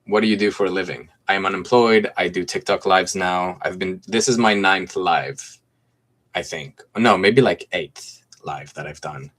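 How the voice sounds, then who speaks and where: Low-voiced man, from Greece, slight American accent, twenties, laid-back livestream chat, phone mic at home. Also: moderate pace, fairly bright tone. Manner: laid-back, chatty, reads comments aloud and answers them. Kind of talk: casual livestream chat answering viewer comments. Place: room at home with mint green walls.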